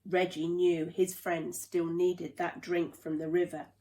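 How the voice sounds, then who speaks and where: A woman reading a children's storybook aloud in a deliberate, dramatic storytelling manner. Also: on the low side, level tone, mellow. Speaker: play therapist reading a children's book. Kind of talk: expressive children's storybook narration.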